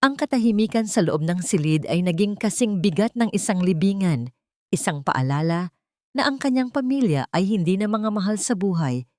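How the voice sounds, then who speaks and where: A woman's voice: mid-range voice, expressive, normal speed, darkish tone, dry recording, clean studio sound. A woman narrator reading a scripted love drama in a solemn, dramatic manner.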